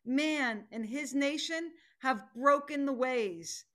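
A middle-aged woman playing a fierce, determined tiger in a dramatic play reading, speaking deliberately and with command. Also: sits high, a bit bright. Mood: grim resolve. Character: fierce female tiger, stern, determined hunter. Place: home room, video call.

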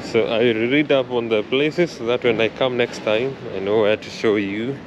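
A young man chatting slowly and thoughtfully as he films a walking travel vlog, first outdoors at a rainy harbor, then inside a concert hall. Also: medium pitch, dull audio. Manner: slow, thoughtful, chatty, says he struggles with R and L. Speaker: travel vlogger. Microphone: camera held close at chest height. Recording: street noise behind the voice.

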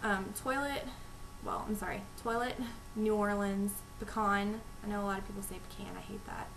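Young North Texas woman, chatty vlogger, creaky voice, low-volume webcam mic with audible hiss. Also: steady tone, moderate pace, a bit bright, low-quality recording. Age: young adult. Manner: chatty, frequent ums. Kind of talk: casual talk to camera, reading a word list. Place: small room at home, indoors. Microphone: webcam microphone, low volume.

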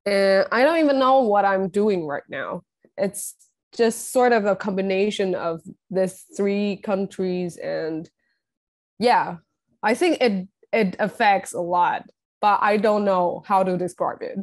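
Young woman musician, halting and thoughtful. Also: medium pitch, a little mellow. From Taiwan. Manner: thoughtful, halting, with many restarts and fillers. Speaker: guest musician. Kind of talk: casual interview. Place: home room, video call.

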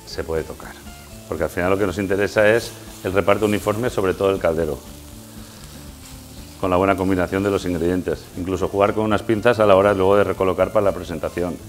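A man's voice: low-pitched, fairly even intonation, quick pace, soft background music. A middle-aged man with an accent from Spain, explaining a cooking demonstration informally and instructively as a guest chef. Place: indoors in a tiled kitchen set.

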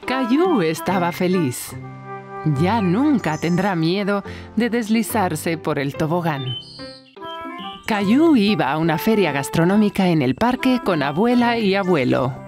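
Una mujer que narra una historia infantil con guion, como cuentacuentos. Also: female voice, lowish voice, animated, unhurried, music in the background. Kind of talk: scripted children's storytelling narration.

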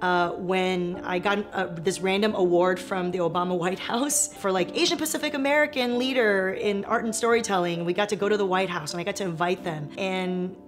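A woman's voice: medium pitch, brisk, few pauses. Frank, funny woman comedian from Taiwan. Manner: frank, animated, self-deprecating humor. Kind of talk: documentary interview, candid storytelling. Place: dark comedy club, seated. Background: music.

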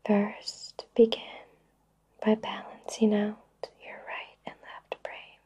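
A woman whispering breathily in a soothing, reassuring way with long pauses, guiding an ASMR Reiki session. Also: mid-range voice, completely flat delivery, warm tone, slightly rough. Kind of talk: whispered ASMR personal attention.

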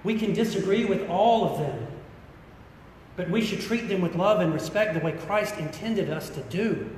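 Male pastor, American accent, middle-aged, higher-pitched voice, deliberate sermon delivery, emphatic, heavily reverberant church. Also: darkish tone. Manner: earnest, deliberate, emphatic repetition. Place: large church interior. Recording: echoey hall with rumbling background noise.

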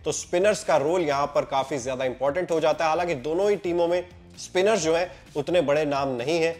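A young man with a Hindi accent presenting a cricket pitch report in a brisk, emphatic, scripted way, filmed head and shoulders in an indoor room. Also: a little mellow. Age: young adult.

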